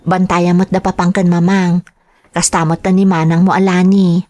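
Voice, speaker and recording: A woman's voice: lowish voice, level tone, moderate pace, darkish tone, natural voice. A woman with an Ilocano accent playing a dutiful, earnest eldest daughter in a sentimental radio drama. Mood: gentle, caring. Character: dutiful eldest daughter, young woman going overseas to work. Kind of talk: Ilocano radio drama acting and first-person narration.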